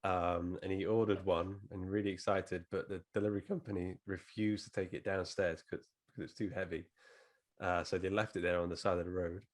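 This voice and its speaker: A man's voice: deep voice, little pitch movement, brisk. A man hosting a podcast, chatting casually and telling anecdotes, with a lingering cough. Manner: chatty, laughs while telling stories. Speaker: podcast host. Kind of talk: casual chat.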